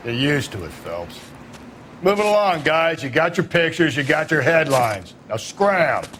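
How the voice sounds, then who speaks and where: A man's voice: on the high side, sing-song, a little slow, fairly bright tone, a little hiss or hum. An American man playing a cynical veteran homicide detective in a video game, blunt, world-weary and sardonic. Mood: dismissive. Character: jaded, gruff male veteran homicide detective, cynical and dismissive. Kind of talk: cinematic video game acting.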